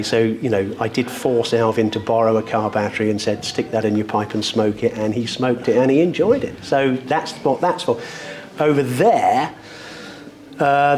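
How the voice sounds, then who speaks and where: A man's voice: medium pitch, a little mellow. A British man presenting products at a hi-fi show, talking off the cuff in an articulate, discursive, wryly enthusiastic way with florid wording. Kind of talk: unscripted product demonstration talk.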